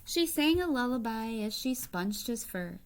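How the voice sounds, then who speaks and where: Slow-paced woman reading a picture book aloud. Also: fairly high pitch, very animated, a little hiss or hum. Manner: explanatory, asks the listeners simple questions. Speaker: teacher reading aloud to children. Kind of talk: picture book read-aloud with asides to young listeners.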